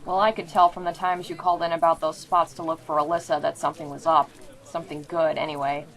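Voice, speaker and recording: A woman's voice: sits low, little pitch movement, fast talker, poor audio. A woman playing a blunt former team member in an audio drama, wry and casual.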